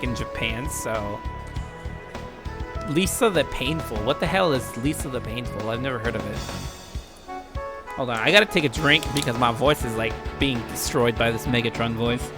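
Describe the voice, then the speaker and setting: Lively young American man, game streamer. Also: mid-range voice, normal speed, music in the background. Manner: irreverent, swearing. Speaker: let's play host. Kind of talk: reading game narration with casual commentary.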